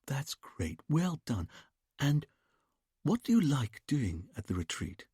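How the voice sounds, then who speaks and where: A man voicing a vain male hypnotist who murmurs softly and soothingly to a woman in a trance. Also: mid-range voice, big pitch swings, slightly rough, no echo, clean studio sound, pitched above the performer's own voice, heavily acted. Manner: soft, slow, soothing murmur.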